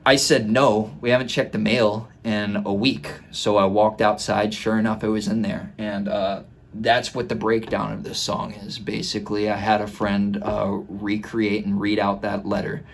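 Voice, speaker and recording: A man in his twenties talking casually and reflectively, explaining his work in a halting way, recorded in a small home room. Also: fairly low pitch, level tone, gravelly, slight background noise. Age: 20s. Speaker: musician and host breaking down own song. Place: small home studio bedroom indoors.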